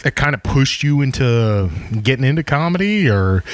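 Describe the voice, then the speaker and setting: A man with a mid-range voice, hosting a relaxed podcast with a fellow comedian. He keeps backing his guest up with quick, agreeable interjections and asks loose, rambling questions. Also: medium pitch, clear, resonant tone, no room sound. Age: younger than his guest. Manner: supportive, chimes in with short agreements. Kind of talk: casual interview chat.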